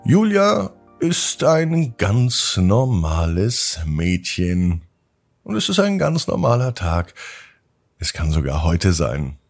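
Deep, creaky-voiced man telling bedtime stories. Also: huge pitch swings, soft background music. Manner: drawn-out, gentle delivery addressed to children. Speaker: host of a children's bedtime podcast. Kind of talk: bedtime storytelling, scripted narration.

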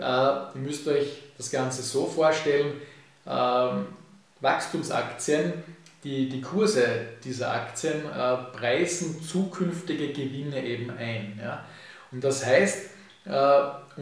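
A man, casually explaining finance to camera in a relaxed way, full of fillers. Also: medium pitch. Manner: relaxed, frequent fillers and "eben", hand gestures. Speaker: finance YouTuber. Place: home office with bookshelves, indoors. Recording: echoey room, distant mic.

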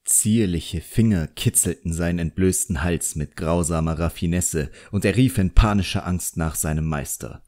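A man's voice: low-pitched, moderate pace, hardly any pauses, a little mellow. A man narrating a literary audiobook in a dramatic storytelling style.

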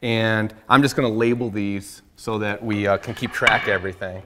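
A man's voice: lowish voice, fairly even intonation, moderate pace, noisy background. A man in his thirties, a guest woodworker, patiently explaining and demonstrating his craft in a large workshop, recorded on a lapel mic. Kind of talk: instructional chat. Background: faint guitar music.

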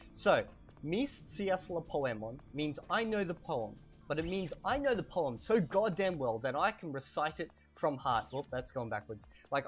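A man in his thirties with an Australian accent, chatting through a language lesson while playing a game, slowing down on key words, on a cheap desk mic. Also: a little high. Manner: chatty, animated, drawn-out slow spelling of words. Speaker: language teacher and gamer. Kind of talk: casual lesson over gameplay. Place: home room, webcam. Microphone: cheap desk microphone.

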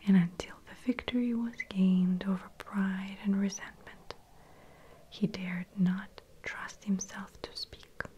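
A woman reads an old-fashioned novel in a quiet ASMR whisper. Her delivery is slow and mellow, with soft breathy phrasing throughout. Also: mid-range voice, a little hiss or hum, poor audio. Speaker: reader. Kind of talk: whispered ASMR book reading.

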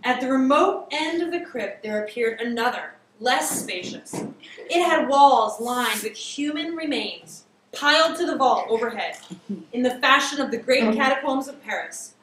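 A high-voiced woman reads a story aloud with big swings in pitch. Her delivery is theatrical and emphatic, with drawn-out words. She is recorded from across an echoey classroom. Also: animated, fairly bright tone, distant mic, a little hiss or hum. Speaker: teacher reading aloud to a class. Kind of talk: dramatic story reading. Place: classroom, indoors. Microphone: far camera across the room.

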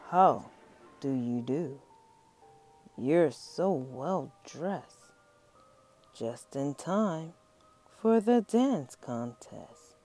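A woman voicing a courteous ghostly party host in a picture book, speaking in a formal, drawn-out, theatrical way. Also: low-pitched, lively intonation, dark tone, faint music behind, voice pushed down, exaggerated voice. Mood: courteous, spooky. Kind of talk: picture book read-aloud.

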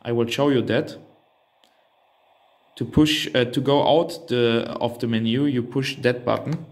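A man's voice: medium pitch, fairly even intonation, a little slow. A man whose native language is German gives an unscripted hands-on product walkthrough, hesitantly thinking aloud. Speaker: reviewer demonstrating a radio transceiver.

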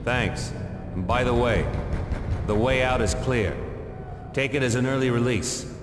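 Terse, deadpan male action hero, acted, echoing. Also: mid-range voice, unhurried, close to an everyday voice. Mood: calm, businesslike. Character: cool, determined action-game hero, menacing toward foes. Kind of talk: video game cutscene acting. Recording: echoey, long reverb, music underneath.

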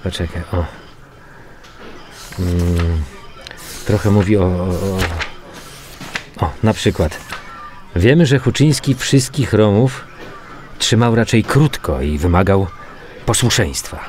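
An actor playing a matter-of-fact, weary male police investigator in a radio drama, muttering and repeating himself haltingly. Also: low-pitched, over music. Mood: wry, businesslike. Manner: halting, muttering repetitions while reading out reports. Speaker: actor in an audio crime drama. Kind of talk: naturalistic radio drama dialogue.

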